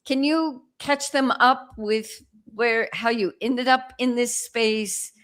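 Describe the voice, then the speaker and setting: A woman's voice: fairly high pitch, slow pace, fairly bright tone. A woman from the American Midwest with a Midwestern accent touched by Dutch, hosting an upbeat livestream interview over a video call. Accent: Midwestern US with a slight Dutch accent. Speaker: host.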